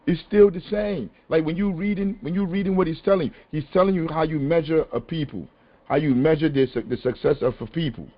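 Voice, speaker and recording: A man hosting a podcast, talking casually and with animated emphasis. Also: fairly high pitch, brisk. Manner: animated, conversational, rising to exasperated emphasis. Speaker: podcast host. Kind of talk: casual discussion on a call-in podcast. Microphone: phone or conference call. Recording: dull lo-fi call audio.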